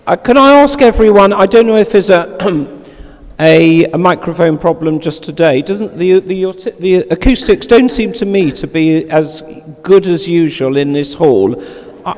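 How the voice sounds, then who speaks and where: Loud-voiced man chairing in a hall. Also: high-pitched, lively intonation, a little slow. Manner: loud, emphatic, appealing to the room. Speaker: meeting chair. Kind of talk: chairing a formal meeting. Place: large hall. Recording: reverberant hall.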